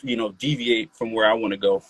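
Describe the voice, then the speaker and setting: A man's voice: lowish voice, fairly even intonation, fairly quick, fairly bright tone, husky, no echo, low-quality recording. A man in his late twenties, a rapper from Maryland, talking loosely in a casual interview, full of "like" and "you feel me". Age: 20s. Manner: laid-back, loose, peppered with "like" and "you feel me".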